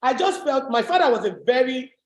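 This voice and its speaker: A woman with a deep voice, giving an emphatic, motivational webinar talk as a business coach. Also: animated, brisk. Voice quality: deep, low voice. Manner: emphatic, repetitive, rallying. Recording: echoey room.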